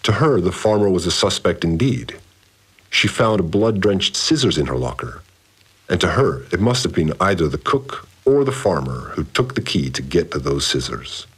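An actor playing a brooding, methodical investigator in an audio drama, speaking in a measured, deliberate, analytical way. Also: male voice, low voice, sing-song, breathy edge, heavily acted. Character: methodical, brooding male investigator puzzling over a murder. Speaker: narrator investigator. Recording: music behind the voice. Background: music.

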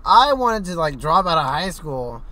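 A man's voice: fairly high pitch, very animated, moderate pace, bright, forceful tone, rough recording. A teenage boy, casual and joking, rambling through a chat with friends in a parked car. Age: teens. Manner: joking, rambling, laughs often. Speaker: podcast co-host.

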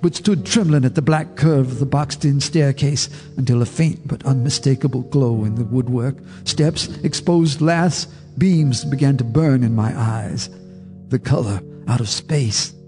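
A man's voice: medium pitch, dark tone. Grave male radio drama narrator. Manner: measured, grave storytelling. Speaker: narrator, first-person character. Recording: radio drama with faint music underneath.

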